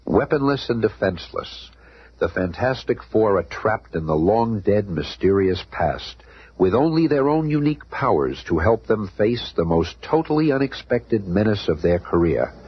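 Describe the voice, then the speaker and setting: A man's voice: lowish voice, sing-song, normal speed, husky, no echo. A man playing a grandiose, theatrical narrator of a superhero radio drama. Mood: dramatic. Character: dramatic, grandiose male storyteller of superhero tales. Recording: muffled old radio recording, faint noise.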